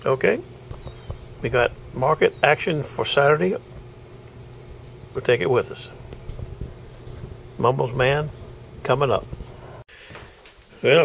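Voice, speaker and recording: A man's voice: mid-range voice, creaky, breathy edge. A middle-aged man, chatting folksily in a casual video diary from his car and home, recorded on a handheld camera. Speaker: knife sharpener vlogger.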